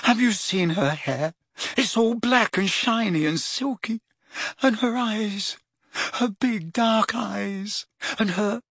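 Brief: a man voicing a dreamy, breathless teen wizard, pitched high and swooping, for a storybook. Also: big pitch swings, a little slow, a bit bright, husky, no room sound, studio quality, exaggerated voice. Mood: lovesick. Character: lovesick teenage boy wizard, excitable and greedy.

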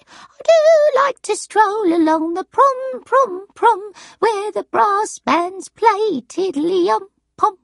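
A woman's voice: gravelly, no echo, studio quality, heavily acted. A woman voicing a confused, stubborn and suspicious old grandmother in an audiobook story, wandering and questioning in manner. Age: older. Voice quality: strange high singing voice when breaking into song.

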